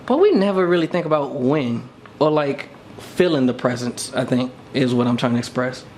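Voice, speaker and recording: A man's voice: mid-range voice, lively intonation, normal speed, a little mellow, a little hiss or hum. A man talking casually and reflectively as a podcast co-host, thinking aloud in an informal way.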